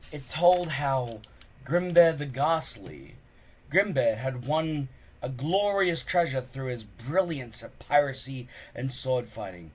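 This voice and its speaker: Man reading a storybook, very animated pitch. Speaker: storybook reader. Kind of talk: expressive scripted narration of a children's book.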